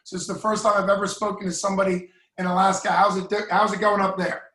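A man's voice: high-pitched, flat delivery, quick pace, bright, forceful tone, roomy sound, rough recording. A talkative man hosting an interview podcast and asking long, friendly questions. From United States. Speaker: podcast host. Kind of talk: casual interview.

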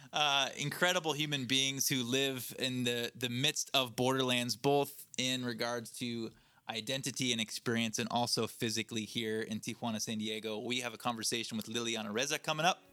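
A man's voice: mid-range voice, normal speed, bright, forceful tone, smooth. A man hosting a podcast interview, upbeat and a little rambling, often correcting himself mid-sentence. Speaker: podcast host, clergy.

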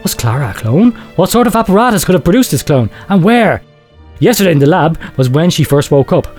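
Man playing a grave researcher, lighter-pitched, ominous. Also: big pitch swings, a little fast, darkish tone, faint music behind. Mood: grim wonder. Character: grave male researcher confessing secret horrific experiments. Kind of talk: dramatic horror storytelling, read aloud.

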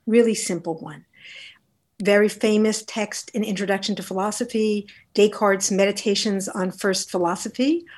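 A woman speaking as an interview guest who teaches philosophy, telling reflective stories in a measured, deliberate and articulate way. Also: mid-range voice, a little mellow. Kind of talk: reflective interview conversation, storytelling.

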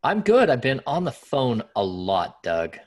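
A man hosting a sports podcast with a raspy voice, talking loosely and conversationally with lots of fillers and restarts. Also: medium pitch, wildly swooping pitch, unhurried, a little mellow. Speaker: podcast host. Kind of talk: casual sports podcast talk.

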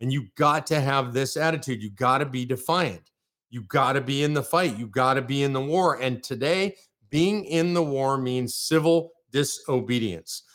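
A man's voice: mid-range voice, normal speed, fairly bright tone. An American man from California hosting a live political commentary show, ranting in heated, punchy bursts of repetition.

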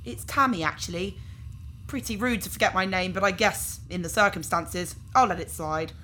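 A woman's voice: on the low side, moderate pace, sharp tone, slight background noise, poor audio. A woman playing a casual, apologetic organ harvester in a science fiction audio drama, talking in an awkward, nonchalant way. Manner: nonchalant, hesitant, politely awkward.

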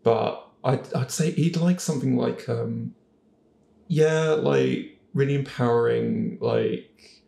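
A man with a creaky, mid-pitched voice talks casually on a panel. He thinks aloud as he goes, and the recording is noticeably roomy and echoey. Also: takes it slowly, dark tone. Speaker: podcast panellist, voice actor. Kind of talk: casual chat.

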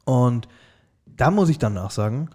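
Young Austrian guy, podcast co-host, chatty and halting, quick to laugh. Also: mid-range voice, expressive, dark tone. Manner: halting, restarts sentences, laughs often. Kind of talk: casual chat.

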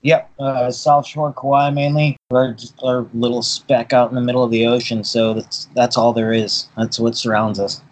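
A man's voice: mid-range voice, flat delivery, normal speed, few pauses, poor audio. A man who grew up in Boston, a dive boat captain, telling stories in an easygoing way as a guest on an interview. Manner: easygoing storyteller, repeats words as he thinks.

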